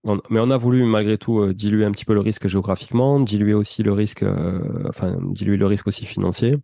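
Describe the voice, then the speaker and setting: A man's voice: on the low side, fast talker, creaky, mellow, dry recording, clean studio sound. A man chatting informally and explaining at length as a guest in an interview. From France, of Portuguese descent. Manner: informal, talkative, explanatory, slangy. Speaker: interview guest, entrepreneur and property investor. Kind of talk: casual conversational interview, recounting own experience.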